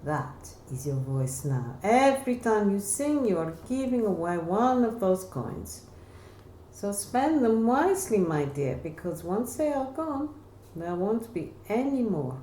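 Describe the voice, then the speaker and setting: A woman's voice: medium pitch, very animated, a little slow, mellow, voice pushed up. A woman voicing a mature, caring Spanish singing teacher in a novel, advising earnestly and emphatically. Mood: insistent. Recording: roomy echo, faint background noise.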